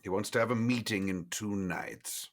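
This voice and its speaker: A man voices an ancient vampire in a tabletop horror game. He speaks low and deliberately, keeping things close, with a wry edge. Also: close to an everyday voice. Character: guarded, centuries-old male vampire, gruff but wry.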